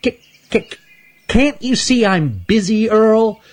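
A man's voice: high-pitched, animated, takes it slowly, pitched above the performer's own voice. A man doing a stuttering young squirrel. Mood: nervous. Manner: stuttering, nervous. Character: timid young male squirrel who stutters. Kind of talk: storybook character voice.

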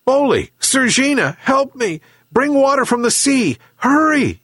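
Man playing an excitable, high-voiced old fisherman. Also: a little slow, breathy edge, dry recording, clean studio sound, exaggerated voice. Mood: panicked. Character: poor old fisherman, emotional, desperate, quick to rage.

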